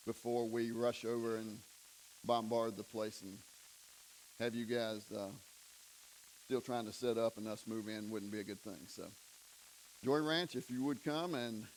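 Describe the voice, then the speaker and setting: A man's voice: medium pitch, fairly even intonation, normal speed, pauses often, low-quality recording. Guy doing church announcements, easygoing, bit of hiss in the background.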